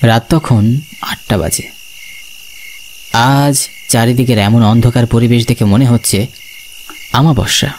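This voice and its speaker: A man voicing a dutiful young village night watchman in a first-person horror story, expressive and suspenseful. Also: mid-range voice, measured pace, long pauses, slight background noise, natural voice. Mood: uneasy, suspenseful.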